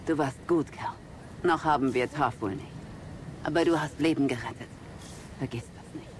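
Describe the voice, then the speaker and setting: A man's voice: mid-range voice, moderate pace, a little hiss or hum, lightly acted. A man playing a composed, serious former Jedi mentor in a German audio drama. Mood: encouraging.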